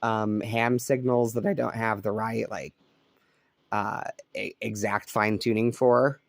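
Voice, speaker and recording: A man's voice: lowish voice, measured pace, gravelly, no room sound. A man hosting his own channel, chatting casually while he tunes a radio at night indoors, recorded on a cell phone. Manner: relaxed, musing commentary over radio. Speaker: shortwave radio hobbyist host. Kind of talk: casual chat.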